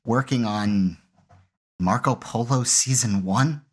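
A man's voice: medium pitch, takes it slowly, slightly rough. A man in casual conversation, giving commentary on his own audio production. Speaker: podcast creator giving commentary. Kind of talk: casual group commentary chat.

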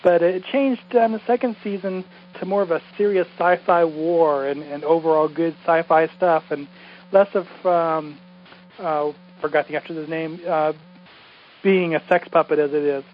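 A man's voice: fairly high pitch, fairly even intonation, normal speed. A man who podcasts, leaving a casual, rambling message over a muffled phone line. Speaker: podcaster calling in. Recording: muffled phone voicemail, faint noise.

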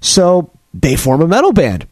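A man co-hosting a podcast, still congested from a cold, rambling through animated stories with many restarts. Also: fairly high pitch, unhurried, no echo. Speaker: podcast co-host. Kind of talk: casual chat.

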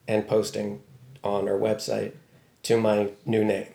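Gravelly low-voiced man from Baltimore. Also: monotone, measured pace, mellow, reverberant. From Baltimore, USA. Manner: thoughtful, wry, many fillers. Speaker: news writer, guest. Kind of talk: casual interview talk.

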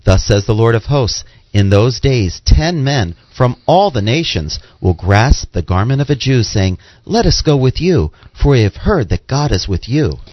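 A man giving a formal, measured reading of Bible verses. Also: mid-range voice, big pitch swings, no echo, muffled recording. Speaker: scripture reader.

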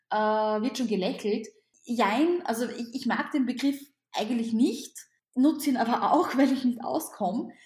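Austrian-accented female teacher, non-native, higher voice, roomy echo, lively interview answers. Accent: Austrian German. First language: not German. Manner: animated, repeats words and restarts.

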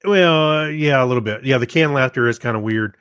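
A man's voice: medium pitch, fairly bright tone, clean tone, no room sound. Hesitant man in casual podcast chat, dry sound. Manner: hesitant, pausing to search for words. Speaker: podcast co-host reviewing TV shows.

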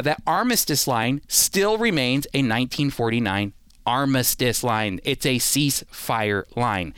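Clear-voiced American man hosting radio talk. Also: fairly high pitch, a bit bright, no echo, clean studio sound. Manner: emphatic, addresses listeners as friends. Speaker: radio show host. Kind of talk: explanatory radio talk on history and politics.

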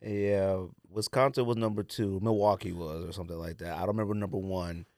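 A man's voice: deep voice, steady tone, brisk, a little mellow, no echo, clean studio sound. A man co-hosting a podcast, chatting casually about sports in an informal, slangy, conversational way. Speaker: podcast co-host.

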